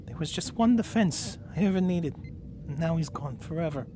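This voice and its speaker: A man's voice: on the high side, expressive, normal speed, noisy background, lightly acted. A man voicing a scrappy, loyal robot rat in a cartoon radio drama, talking in informal street slang. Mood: sorrowful. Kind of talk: animated-series radio drama acting.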